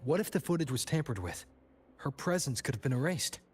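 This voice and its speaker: A man's voice: medium pitch, very animated, fairly quick, slight background noise, natural voice. An actor voicing a direct, questioning young man in a video game, blunt and terse.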